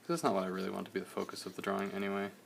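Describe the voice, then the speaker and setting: A young man, slightly hoarse, chatting casually in a relaxed, rambling way while he streams a drawing session. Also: low-pitched, racing, slight background noise. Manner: relaxed, rambling, many fillers.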